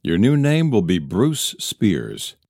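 Man playing a low, animated, calculating official. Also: slow pace, gravelly, no room sound, studio quality, heavily acted. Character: composed, calculating male police official, persuasive. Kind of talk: audio drama acting.

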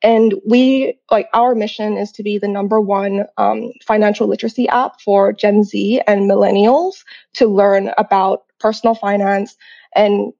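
A woman's voice: medium pitch, gravelly, mellow. A young woman from Hong Kong, a guest entrepreneur talking casually in an interview, with lots of fillers and restarts. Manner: chatty, many fillers and restarts. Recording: slightly dull lo-fi sound.

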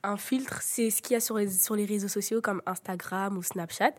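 Teen girl explaining stuff calmly in a school podcast. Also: medium pitch, normal speed. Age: teens. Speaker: student.

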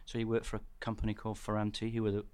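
Low-voiced English man telling a story. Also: monotone, racing, a little mellow, clean tone. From England. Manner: chatty, storytelling. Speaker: guest, theatre director. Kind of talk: interview conversation.

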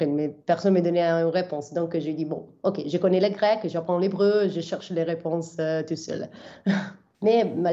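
A woman's voice: sits low, mellow, muffled recording. A young Italian woman from southern Italy, a biblical scholar answering interview questions in French with many fillers and restarts.

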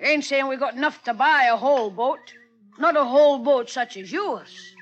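An actor playing a scheming young sailor in a 1950s radio drama, talking in a folksy, wheedling way with rough grammar. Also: male voice, extremely high pitch, normal speed, fairly bright tone, lo-fi sound.

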